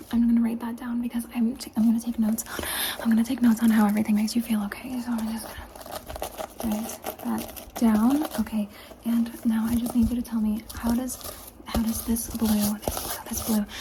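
A woman whispering softly through an ASMR roleplay, asking slow, repeated questions close to the camera mic. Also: fairly high pitch, flat delivery, noisy background. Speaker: ASMR creator.

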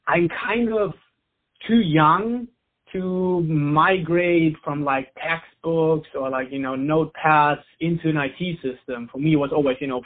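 German-accented man on a phone line. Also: mid-range voice. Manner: relaxed, heavy use of "like" and "you know". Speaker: company founder, guest. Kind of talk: casual interview chat.

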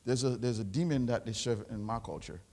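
A Haitian man with a Haitian French accent, a pastor preaching a sermon, rising into loud shouted exhortations. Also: mid-range voice, fairly quick. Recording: long hall reverb.

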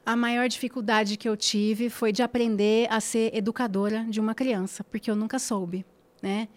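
A woman's voice: on the high side, fairly even intonation, normal speed. A woman, a podcast guest talking casually and emphatically, repeating phrases for stress and checking in with tag questions. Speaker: guest influencer.

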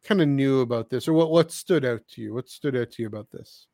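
A man co-hosting a podcast in casual chat, with a halting, jokey delivery. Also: mid-range voice, dark tone, no echo, studio quality. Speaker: podcast co-host.

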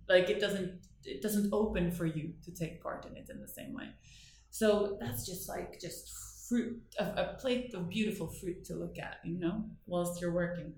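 A woman's voice: mid-range voice, a little mellow, a little hiss or hum. Echoey Norwegian woman, rambling and laughing. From northern Norway. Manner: rambling, self-correcting, laughs often. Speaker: artist guest. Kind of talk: casual interview chat.

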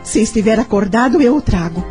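An actress with a Brazilian accent playing a gentle, loving wife and mother in a radio soap opera, tender in manner. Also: fairly high pitch, lively intonation, a little fast, close to an everyday voice. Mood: gentle, affectionate. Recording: music under the voice.

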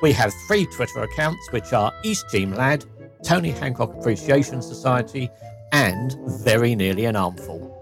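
A man's voice: mid-range voice, expressive, moderate pace, over music. A man co-hosting a podcast, talking keenly and reminiscing. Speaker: podcast co-host. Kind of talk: casual chat.

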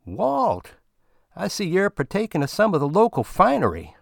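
A man's voice: high-pitched, wildly swooping pitch, moderate pace, vocal fry, dry recording, clean studio sound, pitched above the performer's own voice, heavily acted. A man voicing a crude, talkative male space miner with a folksy, ungrammatical drawl. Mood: boastful.

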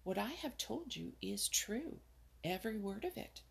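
Jenny Wren, a woman doing a sharp scolding little bird. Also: high-pitched, very animated, unhurried, gravelly, breathy edge, voice pushed up, heavily acted. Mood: earnest.

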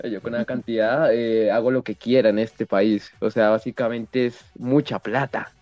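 A man's voice: mid-range voice, steady tone, a little slow, darkish tone, lo-fi sound. A man from Colombia with a Colombian Spanish accent, chatting casually and often repeating his phrases. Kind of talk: casual group chat. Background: music.

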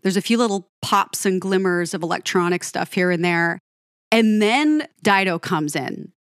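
A woman's voice: on the low side, normal speed, no echo, studio quality. A woman giving an enthusiastic, chatty breakdown of a song to her viewers, laughing often. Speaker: music reaction commentator.

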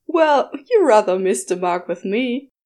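A woman's voice: medium pitch, animated, unhurried, darkish tone, close to an everyday voice. A woman voicing a prim, cheerful male angel with effusive, old-fashioned politeness in an audiobook. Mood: chatty, envious. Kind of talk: audiobook character voice.

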